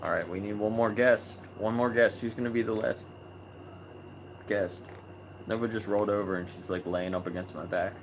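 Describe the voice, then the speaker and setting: Low-voiced man hosting a casual live chat. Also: moderate pace, gravelly, a little hiss or hum, rough recording. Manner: relaxed, chatty, many fillers. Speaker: host of a live video chat about animal rights.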